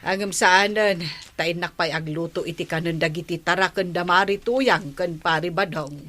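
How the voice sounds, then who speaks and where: A woman's voice: lowish voice, fairly even intonation, normal speed, fairly bright tone, soft background music, lightly acted. A woman with an Ilocano accent acting a kind village housewife who chides others in a radio comedy drama. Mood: caring, busy.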